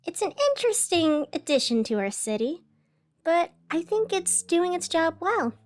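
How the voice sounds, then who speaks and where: A woman playing a gentle, musing radio host in a scripted fiction podcast, speaking in a soft, reflective, conversational way. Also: very high voice, big pitch swings, normal speed. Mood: calm, musing. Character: gentle, musing young female radio host of a strange city.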